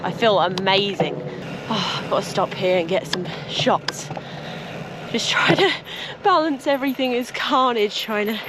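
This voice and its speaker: A woman in her twenties, her voice husky from dust, talking earnestly and reflectively to her camera outdoors during a long bike trip. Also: medium pitch, background noise. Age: 20s. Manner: earnest, reflective, with soft laughs. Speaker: vlogger.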